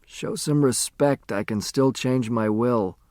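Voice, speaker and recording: A male narrator plays a dying man in his eighties from a storybook. His voice is faint and frail, at a middling pitch, and he asks things in a halting, wistful, gently teasing way. The sound is close and dry. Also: no room sound, natural voice. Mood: feeble, gruff. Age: 80s. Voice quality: weak, faint.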